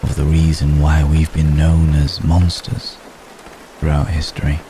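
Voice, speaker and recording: An ancient vampire gentleman, played by a man, speaks in a very deep voice at an unhurried, lingering pace. He is gentle, protective and formal, pausing hesitantly as in an intimate ASMR roleplay. Steady rain is clearly heard behind him. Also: a little hiss or hum. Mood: earnest, confiding. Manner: hesitant, measured, formal phrasing with long pauses. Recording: close recording with steady rain ambience.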